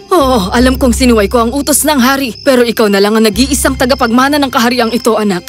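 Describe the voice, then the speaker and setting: Loving, anxious fairy-tale queen mother, acted by a woman, urgent, slightly high-pitched, distinct music bed. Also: quick pace, close to an everyday voice. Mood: worried, tender. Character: worried, protective queen mother, loving and resolute. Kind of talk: children's fairy-tale dialogue. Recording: music clearly behind the voice.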